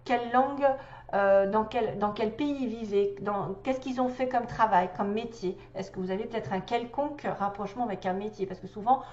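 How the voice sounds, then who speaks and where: A woman's voice: mid-range voice, normal speed, a little mellow, echoey room, dull audio. A woman with a cold giving a casual tarot reading, chatty, with many hesitations and little laughs. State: has a cold. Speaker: tarot reader.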